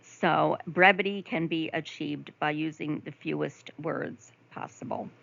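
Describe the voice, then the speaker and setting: A woman instructor giving a conversational recorded lecture over slides, with frequent ums, asides, and throat clearing. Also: deep voice, unhurried, a bit bright, muffled recording. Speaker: nursing instructor.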